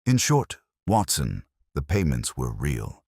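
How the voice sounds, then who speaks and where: A man voicing a grave, brilliant gentleman detective in a storybook, formal, measured and oratorical. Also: very deep voice, wildly swooping pitch, breathy edge, no room sound, clean studio sound, full character voice. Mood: grave, explaining.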